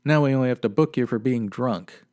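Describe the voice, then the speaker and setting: Male actor as police sergeant, mid pitch, deadpan, clipped, stern, no-nonsense, old-time radio detective drama. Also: brisk, natural voice. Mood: dry, reproving. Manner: deadpan, clipped, matter-of-fact. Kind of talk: 1950s radio police procedural acting.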